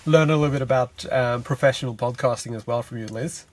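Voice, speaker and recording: A man's voice: mid-range voice, quick pace, a loud crowd, low-quality recording. A Swedish man in his thirties, a guest on a podcast, answering thoughtfully with lots of fillers while he sits in a parked car. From Sweden. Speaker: guest, energy consultant. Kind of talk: casual interview answers. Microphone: podcast mic on a remote call.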